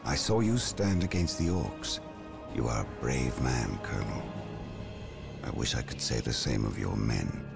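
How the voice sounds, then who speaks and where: Brief: a very deep, gravelly, cold space-marine psychic, measured threats, music bed under the dialogue. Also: male voice, animated, heavily acted. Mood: stern. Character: stern veteran male space-marine psychic librarian. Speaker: game character. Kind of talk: video game cutscene acting. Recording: game cutscene with music underneath.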